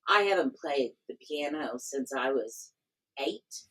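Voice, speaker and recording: Low, husky-voiced woman professor. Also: lively intonation, takes it slowly, fairly bright tone, poor audio. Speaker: professor and company founder. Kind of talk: podcast interview.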